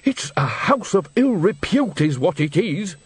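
A man's voice: a little high, sing-song, measured pace, breathy edge, no echo, faint music behind, full character voice. A man reading aloud and voicing an earthy, cheeky old woman witch who talks bluntly. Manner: blunt, exclaiming.

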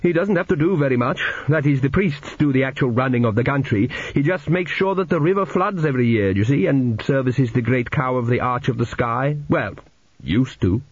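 Man as awkward, mild, husky teenage boy. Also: mid-range voice, expressive, normal speed, no echo, lo-fi sound. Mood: discomfited. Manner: hesitant, polite, evasive.

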